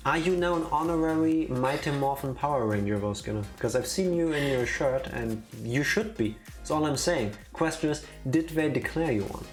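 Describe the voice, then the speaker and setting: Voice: mid-range voice, normal speed, few pauses. Streamer just chatting, kinda opinionated and teasing, music playing behind. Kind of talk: casual chat before a game stream.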